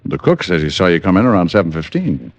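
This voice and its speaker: Lively, low-voiced radio private eye. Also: male voice, quick pace, no echo, exaggerated voice. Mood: probing, composed. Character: shrewd, persistent male private detective questioning suspects. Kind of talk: 1950s radio detective drama acting. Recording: dry, muffled old radio recording.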